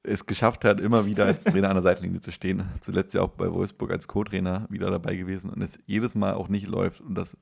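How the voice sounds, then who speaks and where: A man chatting casually as co-host of a football podcast, in a halting way with self-corrections. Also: low voice, level tone, gravelly, breathy edge. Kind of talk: casual conversational football discussion.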